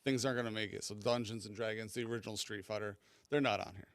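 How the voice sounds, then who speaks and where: A man's voice: lowish voice, fairly even intonation, quick pace, no room sound. A man co-hosting a casual movie debate, informal and enthusiastic, repeating himself for emphasis. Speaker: podcast co-host.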